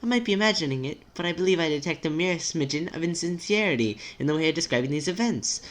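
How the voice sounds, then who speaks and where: A man's voice: on the high side, animated, fast, pitched above the performer's own voice, lightly acted. A man voicing a nervous, awkward teenage boy who speaks hesitantly in wordy, formal phrases and tries to be supportive. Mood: careful, probing.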